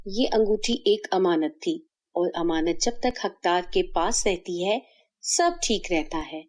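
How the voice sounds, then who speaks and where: Casting: woman as wise, kindly fairy for a fairy tale, very animated pitch, gentle yet firm. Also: a little slow. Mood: solemn, explaining. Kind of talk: acted children's fairy-tale dialogue.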